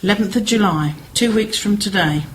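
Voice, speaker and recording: A woman's voice: mid-range voice, a little fast, background noise, close to an everyday voice. A woman acting a chatty, concerned village sister in an amateur radio play, gossipy and persuasive. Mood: pleading.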